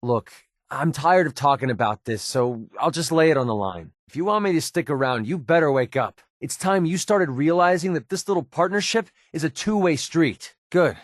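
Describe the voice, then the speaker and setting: A man's voice: a little high, lively intonation, normal speed, no room sound, studio quality, natural voice. A man playing a cynical, wisecracking young mercenary sharpshooter in a video game, with a sardonic, casual, slangy delivery. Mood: earnest, matter-of-fact. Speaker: video game companion voice actor. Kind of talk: game character dialogue, naturalistic acting.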